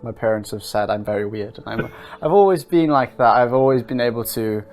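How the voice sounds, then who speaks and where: A man in his twenties, interviewed about his life, speaks in a calm, unhurried way with frequent hesitations. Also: medium pitch. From Wales and Norway. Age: 20s. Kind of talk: casual personal testimony.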